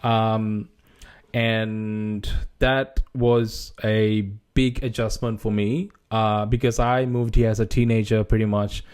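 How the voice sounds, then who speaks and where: A man's voice: fairly low pitch, little pitch movement, slow pace, darkish tone, smooth. A young man from Sri Lanka with a Sri Lankan accent, whose first language is Sinhala, talking casually to camera in a vlog, often restarting his sentences. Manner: hesitant, many restarts and fillers. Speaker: gaming channel creator.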